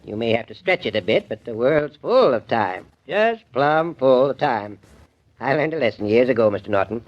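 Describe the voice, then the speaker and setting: A man's voice: mid-range voice, big pitch swings, moderate pace, natural voice. An actor playing a shrewd, folksy small-town selectman with a drawling rural way of talking, in a 1940s radio play. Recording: muffled vintage radio recording.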